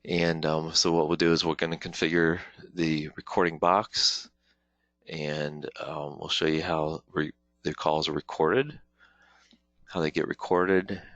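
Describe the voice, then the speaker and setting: A man presenting an informal software walkthrough as a webinar trainer, explaining step by step in a halting way with frequent pauses. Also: a bass voice, level tone, no room sound, muffled recording. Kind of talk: informal software tutorial, live demonstration walkthrough.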